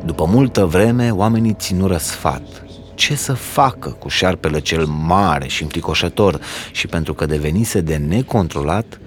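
A man reading a folk tale aloud as a storyteller, in an expressive, dramatic storybook style. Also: low-pitched, soft background music.

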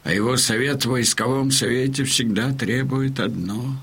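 A man's voice: medium pitch, a bit bright, slightly rough. An actor playing an old Cossack in a radio play, telling war news to his crony in a folksy, emphatic way. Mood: grave, admiring. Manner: folksy, emphatic, unhurried storytelling. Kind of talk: Russian audio drama acting.

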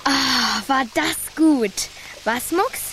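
Very high-voiced little girl. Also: drawn-out words, a bit bright, a little hiss or hum, heavily acted. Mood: nervously polite. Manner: eager, placating. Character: polite, quick-witted six-year-old girl. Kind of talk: children's radio play acting.

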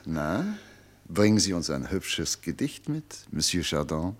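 An actor playing a fawning, vain baron at court in a radio play, speaking in affected, mannered flattery. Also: male voice, low voice, unhurried, exaggerated voice. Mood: coy, teasing. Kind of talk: German radio play acting.

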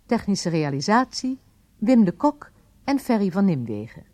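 A woman announcer reading scripted radio credits in a formal, clearly articulated manner. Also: mid-range voice, sing-song, unhurried, mellow, no echo.

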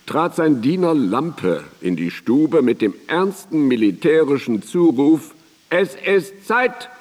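An actor playing a biographical narrator in a radio drama, telling it in measured, literary and expressive language. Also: male voice, mid-range voice. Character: male biographical narrator of a philosopher's daily routine. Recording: reverberant hall sound.

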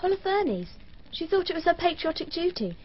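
A woman's voice: extremely high pitch, sing-song, a little fast. An eerie, precocious 12-year-old girl. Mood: dreamy. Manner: earnest, matter-of-fact, odd. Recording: dull lo-fi archive radio, faint hiss.

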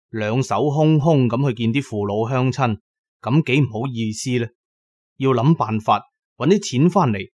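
A man's voice: medium pitch, dry recording, clean studio sound. A man narrating a scripted dramatic story in the first person, with colloquial storyteller's asides. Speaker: audiobook narrator.

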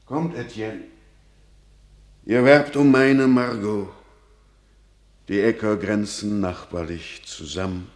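A man's voice: lowish voice, fairly even intonation, takes it slowly, pauses often. An actor playing an old, pious peasant father, brooding and anxious, declaiming classical verse solemnly in a radio drama. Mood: benevolent, resolute.

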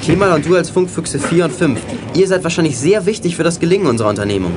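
Quick, brainy teenage boy leader played by a male. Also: a little high, animated, music in the background, natural voice. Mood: decisive. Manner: precise, organising, matter-of-fact. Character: brainy, decisive teenage boy leading young detectives. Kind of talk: German youth audio drama acting.

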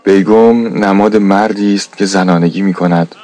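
A man's voice: sits low, steady tone, mellow, dry recording, faint music behind, close to an everyday voice. A man playing the solemn, poetic narrator of a radio drama, reading scripted literary lines.

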